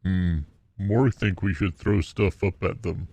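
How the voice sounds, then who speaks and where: A man's voice: sits low, fairly even intonation, unhurried, a little mellow, dry recording, pitched below the performer's own voice. A man voicing a dim, brutish character who talks bluntly in broken English at a tabletop game. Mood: blunt, scheming. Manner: blunt third-person broken speech. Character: slow brutish dim-witted mutant brute speaking broken English.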